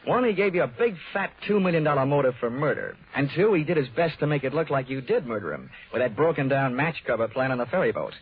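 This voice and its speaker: An actor playing a wisecracking, tough American insurance investigator in a 1940s radio detective drama, speaking brisk and wry. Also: male voice, medium pitch, sing-song, close to an everyday voice. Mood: accusing. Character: wisecracking hard-boiled insurance investigator man. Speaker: lead actor and narrator. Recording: tinny 1940s radio recording, music.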